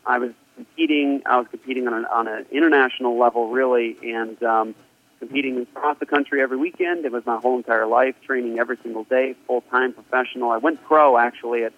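A man's voice: mid-range voice, steady tone, fast talker. A middle-aged man, a pastor, talking earnestly on the phone as a guest in an interview, with many fillers. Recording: tinny phone line.

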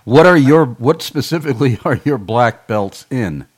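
A man's voice: medium pitch, expressive, normal speed, breathy edge. A man hosting a radio interview in a jovial, joking way. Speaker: radio host.

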